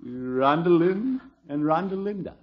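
Fussy, pompous American uncle, swooping delivery. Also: male voice, a little high, animated, a little slow. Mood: fond, avuncular. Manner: theatrical, exclaiming, grumbling. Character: pompous, fussy middle-aged uncle, easily flustered. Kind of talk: 1940s-50s American radio comedy acting. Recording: muffled old radio recording, faint noise.

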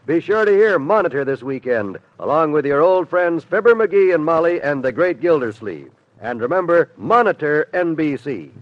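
A man's voice: on the high side, very animated, moderate pace, slight background noise. An American man announcing on 1950s radio with polished, emphatic, scripted salesmanship. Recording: dull vintage radio recording. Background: music.

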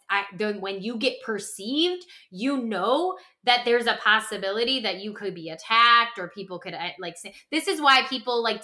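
A woman co-hosting a podcast, explaining ideas casually and emphatically with rhetorical questions. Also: mid-range voice. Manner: animated, emphatic, uses rhetorical questions and acted-out quotes. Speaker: podcast co-host.

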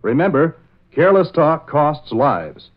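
Dramatic high-voiced male vintage radio announcer. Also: big pitch swings, a little slow. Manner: dramatic, emphatic. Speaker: radio show announcer and narrator. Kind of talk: 1940s radio announcing, scripted narration. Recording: dull lo-fi vintage radio recording.

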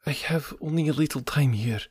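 A man's voice: fairly high pitch, fairly quick, darkish tone, husky, pitched above the performer's own voice. A man reading an audiobook, voicing a proud, exhausted foreign woman making a dignified confession. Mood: disdainful.

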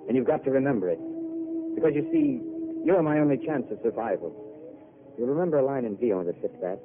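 An actor playing a weary, eloquent intellectual who makes theatrical speeches, in old radio drama. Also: male voice, medium pitch, very animated, fairly quick, creaky. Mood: wistful. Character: weary poetic intellectual man, wistful and eloquent. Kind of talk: 1930s radio drama acting. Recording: tinny old broadcast with hiss.

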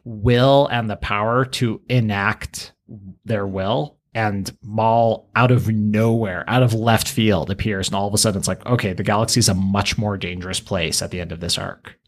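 A man co-hosting a podcast, talking casually in a measured, explanatory way. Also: on the low side, steady tone. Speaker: podcast co-host.

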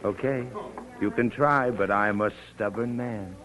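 An actor playing a smooth, pushy small-town miner in an old-time radio drama, folksy and insistent. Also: male voice, on the low side, measured pace, lightly acted. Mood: flirtatious, cocky. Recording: noisy vintage radio recording.

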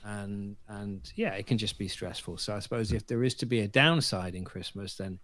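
A man co-hosting a casual podcast, talking in a measured, wordy way with rather formal phrasing. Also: lowish voice, a bit bright, no echo. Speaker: podcast co-host.

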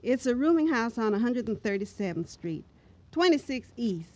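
A woman's voice: on the high side, big pitch swings, normal speed, mellow. An actress playing a worried, dignified middle-aged mother in old radio drama, speaking with polite earnestness. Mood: anxious, earnest. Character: anxious, dignified, devoted mother of a grown son.

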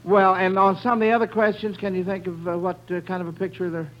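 Man, American, old-time radio quiz host, high-pitched, folksy and chatty, muffled vintage sound. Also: little pitch movement, quick pace. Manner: folksy, chatty, addressing children and listeners as friends.

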